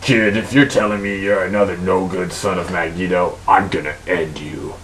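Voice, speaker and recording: A man voicing a gruff, threatening mutant brawler from a comic, with curt, growled threats. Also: deep voice, fairly even intonation, normal speed, a bit bright, slight background noise, voice pushed down. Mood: menacing, grumpy.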